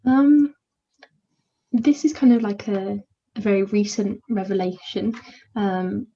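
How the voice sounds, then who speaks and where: A young woman from the UK, a volunteer mentor answering interview questions in a quiet, introverted, hesitant way, with a soft, soothing voice and many fillers. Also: medium pitch, steady tone, takes it slowly, muffled recording.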